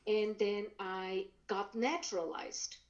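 A woman's voice: medium pitch, steady tone, slow pace, dull audio. A woman from Brazil whose first language is German, a painter chatting upbeat as a podcast guest. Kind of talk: interview conversation.

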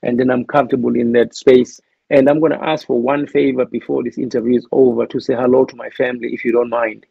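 A man's voice: mid-range voice, level tone, quick pace, vocal fry, breathy edge, no room sound, dull audio. A man with a South African accent, speaking as an interview guest in a thoughtful, hesitant way with many restarts. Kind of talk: reflective interview talk.

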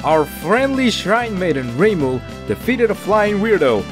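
A man's voice: high-pitched, sing-song, unhurried, music in the background. A man playing a cheeky, mock-solemn narrator, delivering comic scripted narration for a cartoon parody.

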